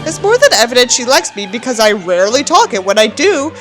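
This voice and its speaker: Woman acting out a pompous, bitter teenage boy, high-voiced. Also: very animated, fairly quick, fairly bright tone, soft background music. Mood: smug, detached. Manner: pompous, theatrical, contemptuous. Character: bitter, self-important nihilistic teenage boy writing a journal. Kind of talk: dramatic reading of a journal.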